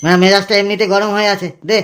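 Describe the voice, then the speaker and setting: Man voicing a fast-ranting, hot-headed village lad. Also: high voice, little pitch movement, fairly bright tone. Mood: irritated, ranting. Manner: brash, ranting, crude. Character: hot-tempered foul-mouthed young village man in a comedy cartoon. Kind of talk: exaggerated comedy cartoon dialogue. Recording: clearly noisy recording.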